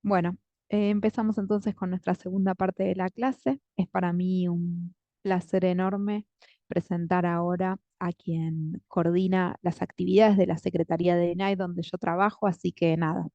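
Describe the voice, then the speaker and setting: A woman's voice: fairly low pitch, little pitch movement, normal speed, mellow, no room sound. A woman coordinator introducing a guest speaker in an online class, friendly and chatty with many fillers. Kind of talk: spoken introduction in a video class. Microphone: video call. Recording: dull lo-fi call audio.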